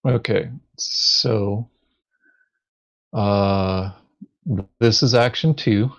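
A man's voice: fairly low pitch, extremely slow, creaky, fairly bright tone, highs cut off. A man playing a board game, chatting casually in a dry, laconic way. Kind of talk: casual gaming chat.

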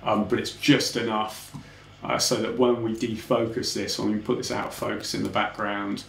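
A man's voice: fairly low pitch, little pitch movement, normal speed, creaky, fairly bright tone. A young man casually talking through his 3D animation work in a tutorial, full of "kind of" and "um" as he clicks through his setup at a desk. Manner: informal, technical, frequent "kind of" and "um" hedges. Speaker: 3D artist explaining his work. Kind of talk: relaxed screen-recorded tutorial walkthrough. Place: small home office. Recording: roomy home office with faint background hum.

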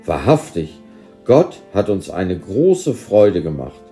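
Man reading as slow, earnest old shepherd grandfather. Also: deep voice, very animated, warm tone, faint music behind, full character voice. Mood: joyful.

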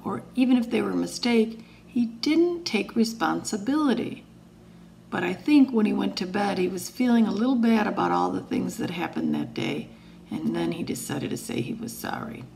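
A woman in her forties speaking deliberately and simply, as a teacher reading a story aloud to children. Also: medium pitch, vocal fry, darkish tone, a little hiss or hum, poor audio. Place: indoors, wood-panelled room.

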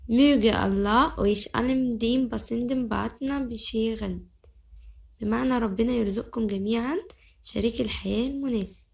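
A young woman with an Egyptian Arabic accent teaching vocabulary. She says each word slowly several times, then explains it quickly in Arabic. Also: a little high, creaky. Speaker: language teacher. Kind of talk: vocabulary lesson.

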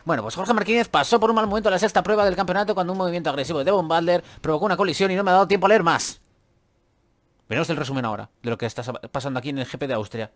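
A man's voice: on the high side, racing, soft background music. A man giving casual, chatty gameplay commentary who swears and grumbles when things go wrong. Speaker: gaming YouTuber.

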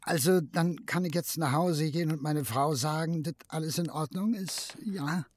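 An actor playing an anxious, simple working man in a radio drama, raspy, colloquial and deferential. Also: on the high side, moderate pace, lightly acted. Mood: relieved, unsure. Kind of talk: radio drama acting in German.

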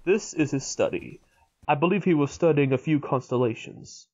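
Man playing obliging male servant, formal, deferential, animated pitch, slightly muffled recording, audio drama. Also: normal speed.

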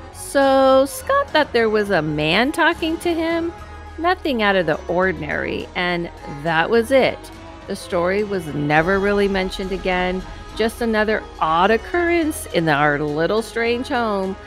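A woman's voice: medium pitch, very animated, faint music behind. A woman in her thirties reading a paranormal story aloud, with casual asides, close to the mic in a dim room. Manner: slow, measured reading with asides. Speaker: host reading a guest's story. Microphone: headset and studio microphone close up.

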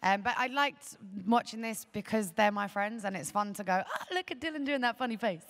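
A woman's voice: on the high side, expressive, fairly quick, crowd noise. Joking woman actor on a panel. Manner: blunt, self-deprecating, joking. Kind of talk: casual panel answer. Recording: faint background noise.

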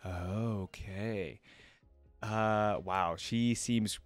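Young adult man, reaction host, fairly deep voice, conversational commentary and reading aloud, very dry room sound. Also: normal speed, dry recording, soft background music. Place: indoor home streaming setup.

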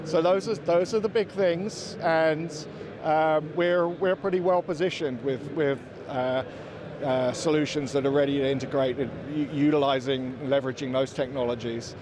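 A man in his forties gives a product presentation over the clear bustle of an exhibition crowd. His voice sits a little high, and he speaks haltingly as a company representative, often doubling back on words. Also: steady tone. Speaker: company representative at a trade show. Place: indoor exhibition booth. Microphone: handheld interviewer's microphone. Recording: busy trade-show floor crowd noise.

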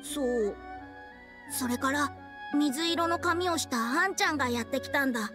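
Woman as a high, boyish youkai kid. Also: moderate pace, music in the background, close to an everyday voice. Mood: puzzled, downcast. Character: rough-spoken young boy youkai, puzzled, plain. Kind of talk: Japanese anime-style game voice acting.

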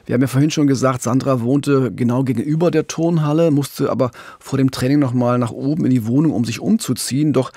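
Man with a creaky, husky storytelling voice. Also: medium pitch, fast, hardly any pauses, warm tone, no room sound. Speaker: podcast co-host and crime reporter. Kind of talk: conversational true-crime narration.

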